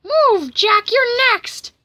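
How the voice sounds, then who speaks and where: A woman's voice: very high-pitched, normal speed, sharp tone, dry recording, pitched above the performer's own voice. A woman voicing a crazed, hungry, bullying marshmallow man who threatens and taunts in a storytelling game video. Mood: angry, defiant.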